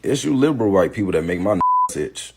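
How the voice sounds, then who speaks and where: A man's voice: fairly low pitch, big pitch swings, brisk. A man talking casually and bluntly. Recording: very noisy clip with loud crowd.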